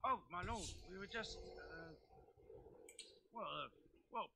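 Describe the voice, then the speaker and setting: A man's voice: sits high, slow pace, gravelly, noisy background, heavily acted. A man playing a nervous, bumbling castle guard in a video game, stammering and deferential. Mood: flustered.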